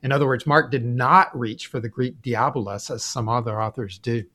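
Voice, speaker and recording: A man's voice: medium pitch, steady tone, normal speed, pushed and bright. Man explaining scripture on a podcast. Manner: explanatory, with occasional chuckles. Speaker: podcast co-host. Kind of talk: conversational Bible discussion.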